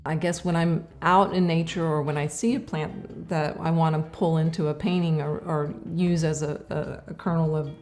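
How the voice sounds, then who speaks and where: A middle-aged woman, an artist, talking in a casual interview, reflective and halting with many fillers and restarts. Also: low-pitched, little pitch movement, a little mellow, soft background music.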